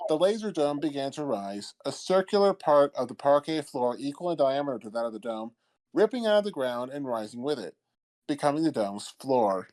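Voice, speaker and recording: A man's voice: expressive, a little fast, darkish tone. A man reading scripted comedic fanfiction as the narrator. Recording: old-timey low-quality mic, background music.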